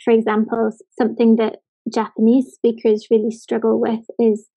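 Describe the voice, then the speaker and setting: A woman's voice: a little high, monotone, normal speed, mellow, muffled recording. A woman from Scotland with a light Scottish accent, giving thoughtful practical advice in a casual conversation.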